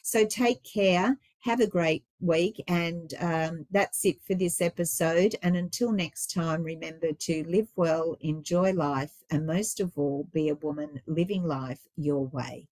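A woman's voice: fairly low pitch, measured pace, few pauses, dry recording. A woman in her sixties hosting a podcast over a video call from home, her voice a bit scratchy and tired, chatting casually with many fillers. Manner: warm encouraging wrap-up, many fillers. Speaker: podcast host. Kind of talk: casual interview chat. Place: home room indoors. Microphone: video call webcam.

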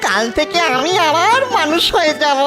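A woman voicing an excitable, childlike boy ghost in a children's cartoon, with a sing-song, wheedling delivery. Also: high voice, moderate pace. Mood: excited. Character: excitable childlike young male ghost, pleading and gleeful. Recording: loud music over the voice.

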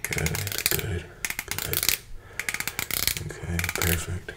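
A young man in his twenties doing an ASMR barber roleplay, talking softly and slipping into whispers, with calm, repetitive, soothing chatter into a close microphone. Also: low-pitched, extremely slow, heavy background noise. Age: 20s. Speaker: ASMR creator playing a barber. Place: bright indoor room with plants. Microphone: close desk microphone in front of him.

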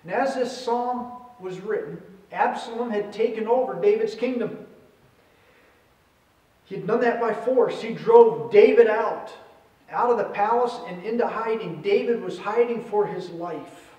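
High-pitched male pastor in an echoey room. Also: a little slow, mellow, lo-fi sound. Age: middle-aged. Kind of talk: sermon with scripture reading. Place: indoors at a church pulpit. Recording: roomy echo, distant microphone.

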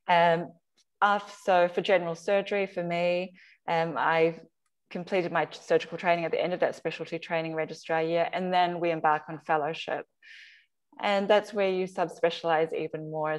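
A woman, a surgeon giving an informal slide talk over a video call in conversational, halting phrases. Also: on the low side. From Samoa. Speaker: surgeon, guest speaker. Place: video call, remote webinar.

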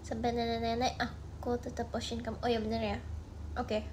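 Woman, 20s, high voice, playful livestream chatter into a phone, faint background hiss. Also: monotone, brisk. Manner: playful, musing, drifts into soft murmurs. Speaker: idol livestreamer. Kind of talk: casual late-night livestream chat. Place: small bedroom at night. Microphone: phone at arm's length.

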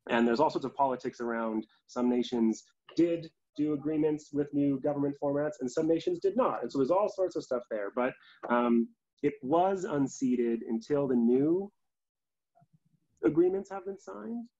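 A man's voice: a little high, lively intonation, moderate pace, mellow. A man in his twenties with a Canadian accent, chatting casually as a guest on a video call. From Calgary, Canada. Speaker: theatre artistic director and guest. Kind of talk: casual chat. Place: small room indoors. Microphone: laptop on video call. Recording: dull video call audio.

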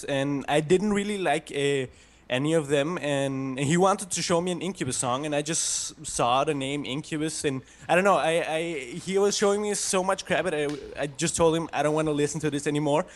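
A man's voice: a little high, fairly quick. A young man from Austria with an Austrian German accent, calling in as a fan over a video call, talking hesitantly with many fillers. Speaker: caller, fan.

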